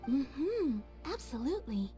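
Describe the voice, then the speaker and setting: A woman's voice: sits high, very animated, unhurried, music in the background. A woman playing a courteous, deferential female shopkeeper in a video game, polite and businesslike as she speaks to customers. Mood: pleased, honored.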